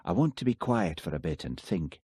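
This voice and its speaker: Man playing a village policeman, wry, dry, matter-of-fact, deep, husky. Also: very animated, normal speed, mellow, dry recording, heavily acted. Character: thoughtful Highland village policeman, young man.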